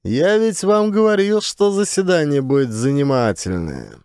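A sly, ironic camp official, acted out by a male narrator in an audiobook. His voice sits high, with insinuating bureaucratic phrasing, recorded very dry. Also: animated, normal speed, darkish tone, smooth, dry recording. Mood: amused, sly. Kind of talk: audiobook character dialogue.

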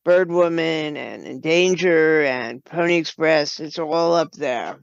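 A woman giving explanatory, halting answers as a guest in an unscripted interview. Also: low voice, vocal fry, no room sound, highs cut off. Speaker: podcast guest, writer and producer.

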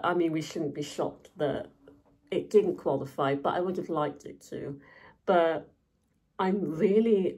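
A young woman with a lowish voice chatting to camera in an easygoing vlog. She talks slowly, full of ums and likes, with fan enthusiasm. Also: a little mellow, husky. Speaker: vlogger.

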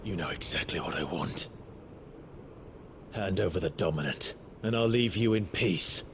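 A man's voice: deep voice, moderate pace, background noise. An actor playing a grim, determined swordsman in a video game, terse and demanding. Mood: stern. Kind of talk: dramatic video game cutscene acting.

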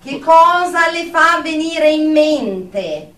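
A woman's voice: sits high, expressive, slow, fairly bright tone, exaggerated voice. A woman playing a stern, condescending psychiatrist in a radio drama, clinical and emphatic in manner. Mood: clinical, probing. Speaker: therapist character. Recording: echoey room, distant from mic.

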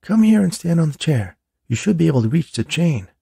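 A man voicing a gentle, coaxing female ghost in an audiobook. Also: on the high side, very animated, fairly quick, gravelly, slightly rough, dry recording, clean studio sound, pitched above the performer's own voice, heavily acted. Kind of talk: audiobook character reading.

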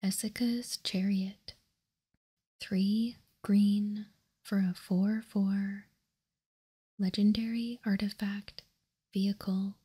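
A woman whispering in a breathy voice as she slowly and deliberately presents trading cards, ASMR style. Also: mid-range voice, level tone, mellow. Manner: slow, deliberate, reading card text piece by piece. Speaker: host of a trading card ASMR channel. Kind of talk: ASMR whispered card showcase.

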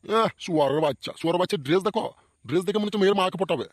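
A man's voice: sits high, racing, dry recording, studio quality, natural voice. A man voicing a bossy, scolding, foul-mouthed older male character in a slapstick comedy cartoon. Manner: scolding, blustering, swearing.

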